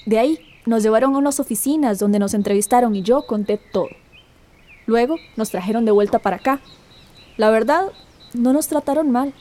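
Radio drama heroine, acted by a woman: gentle, hopeful young woman, soft dark tone. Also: on the high side, moderate pace, natural voice. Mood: haunted recounting.

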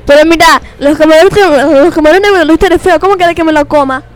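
Woman playing a high-voiced, haughty princess. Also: quick pace. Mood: indignant. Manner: indignant, demanding. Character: haughty, complaining royal princess dining out. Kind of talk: improvised comedy sketch. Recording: music and crowd noise behind.

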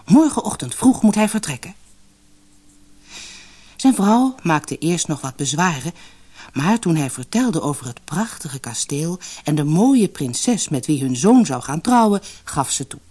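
A storyteller reading a scripted fairy tale for an audiobook, with expressive, dramatic emphasis. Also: mid-range voice, unhurried, breathy edge.

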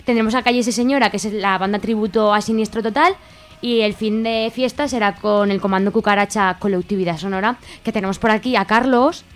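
A woman's voice: mid-range voice, fairly quick. Woman from spain, accent and all, chatting on radio about an event, bit of music under her. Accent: Spanish from Spain. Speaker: festival organizer being interviewed. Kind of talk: informal radio interview.

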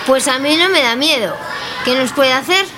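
A woman's voice: sits high, moderate pace, crowd noise, noisy background, close to an everyday voice. A woman playing a cheeky, insistent young schoolchild in an audio drama. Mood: cheeky, excited. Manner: childlike, insistent.

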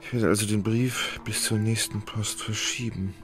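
An actor playing a lethargic, fretful Russian gentleman in a radio play, querulous and full of whining self-pity. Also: on the low side, fairly even intonation, normal speed, lightly acted. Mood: weary. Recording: music behind the voice.

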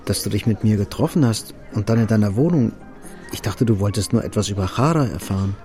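A man's voice: lowish voice, fast, dark tone, soft background music, natural voice. An actor playing a weary, resolute middle-aged man in a German radio drama. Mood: subdued, guarded.

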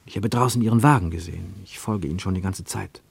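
A man voicing a hushed, furtive young man. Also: deep voice, vocal fry, husky, no echo, full character voice. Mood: furtive, urgent. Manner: hushed, urgent, halting. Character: furtive, anxious young German man, pleading in secret. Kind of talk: literary radio reading.

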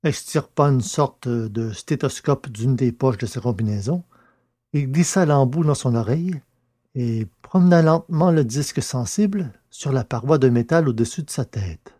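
A man reading scripted science fiction narration in a measured, literary way. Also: mid-range voice, warm tone, no echo, clean studio sound. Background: music.

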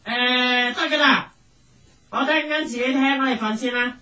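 A man playing a bumbling, polite burglar in a comic radio play, husky and speaking in a hesitant, evasive way. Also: very high voice, normal speed, pushed and bright, distant mic, lo-fi sound, full character voice. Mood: sheepish, evasive. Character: bumbling, awkward, polite burglar hiding under a table.

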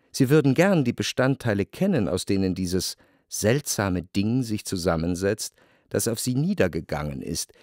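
An actor playing a reflective, well-to-do narrator in a radio play, telling of society life in measured, deliberate phrases. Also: male voice, mid-range voice, a little mellow, no room sound, close to an everyday voice. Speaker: first-person narrator of a literary radio drama. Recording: dry studio with clear music. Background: music.

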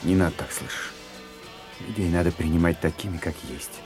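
A man with an extremely deep voice, measured and soothing. He is a conscientious, troubled doctor in a radio drama, with faint music behind him. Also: darkish tone. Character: calm, troubled, conscientious male doctor, soothing his partner. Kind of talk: radio play acting.

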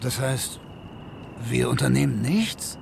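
A man's voice: mid-range voice, animated, slow, gravelly, background noise, full character voice. An actor playing a doubting, upright man in a radio drama, speaking emphatically. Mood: indignant.

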